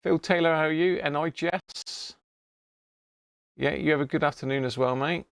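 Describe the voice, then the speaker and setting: British bloke doing a chatty live stream, bit of fry in his voice, dry sound, informal and matey. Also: on the high side, normal speed, no echo. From United Kingdom. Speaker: livestream host. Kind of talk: casual live chat to viewers.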